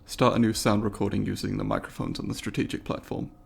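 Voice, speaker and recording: Man playing a gravelly, polite alien student. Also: lowish voice, level tone, fast, background noise. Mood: friendly, brisk. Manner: formal, precise, inquisitive and apologetic. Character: polite, eager alien student studying humans. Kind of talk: ASMR roleplay, addressing the listener.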